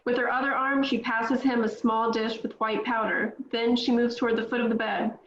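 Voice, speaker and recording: A woman's voice: fairly high pitch, level tone, normal speed, a bit bright. Woman reading stage directions, echoey. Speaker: script reader. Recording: echoey room, dull lo-fi sound.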